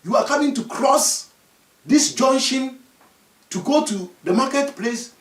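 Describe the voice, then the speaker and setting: Man doing a bossy river spirit: raised, loud, scolding; echoey, off-mic. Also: very high-pitched, lively intonation, measured pace, recorded from a distance, voice pushed up. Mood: stern. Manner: stern, scolding, issuing orders. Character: commanding river spirit, a hidden youth posing.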